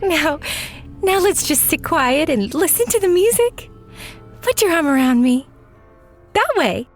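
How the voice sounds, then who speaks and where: A woman's voice: extremely high pitch, animated, unhurried, faint music behind. A woman playing an emotional, quarrelsome sweetheart in a melodramatic old-time style radio drama. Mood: tender. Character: sweet, clingy sweetheart who turns defiant and quarrelsome. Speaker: actor in an audio drama.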